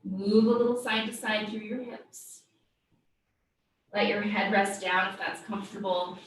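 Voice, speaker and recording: A woman teaching yoga, guiding a body scan with gentle, invitational step-by-step cues. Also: mid-range voice, little pitch movement, measured pace. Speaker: yoga instructor. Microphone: distant microphone. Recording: very echoey room, distant mic, faint noise.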